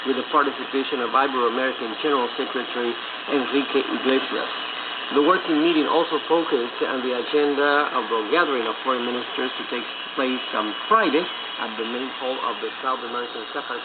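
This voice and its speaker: Man reading news through heavy radio static. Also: medium pitch. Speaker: news reader. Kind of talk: scripted international news bulletin. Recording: phone-like shortwave radio, loud static. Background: loud radio static.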